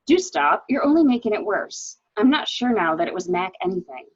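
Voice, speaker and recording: Woman acting a nervous, husky-voiced reluctant wife. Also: medium pitch, big pitch swings, fairly quick. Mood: distressed. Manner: agitated, pleading, hesitant. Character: nervous, reluctant wife caught in a murder cover-up. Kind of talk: amateur dramatic reading of a murder mystery. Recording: dull, muffled lo-fi microphone.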